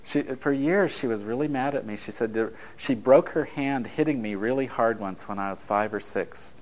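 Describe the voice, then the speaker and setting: A man's voice: mid-range voice, moderate pace, darkish tone, muffled recording. A man from Italy whose first language is Japanese, leading a taped relationship workshop in a conversational, anecdotal way, joking with the group. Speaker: workshop leader.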